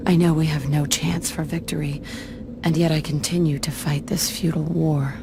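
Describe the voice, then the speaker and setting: A woman's voice: low-pitched, little pitch movement, moderate pace. A woman playing a grave, resolute warrior burdened by hidden despair in a video game cutscene. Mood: brooding. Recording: clearly noisy game audio.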